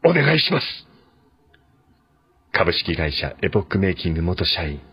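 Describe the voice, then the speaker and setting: A man's voice: deep voice, big pitch swings, normal speed, fairly bright tone, husky, no echo, full character voice. A man playing an earnest, desperate former employee, politely pleading in an audio drama letter monologue. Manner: polite, pleading, increasingly overwrought. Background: background music.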